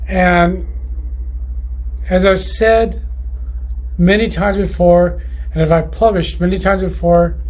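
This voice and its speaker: A man who runs a loudspeaker company, talking through his factory's quality control in a rambling, casual way, often restarting his sentences. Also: high voice, poor audio. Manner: rambling, many restarts and self-corrections. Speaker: loudspeaker manufacturer. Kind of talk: casual explanatory monologue.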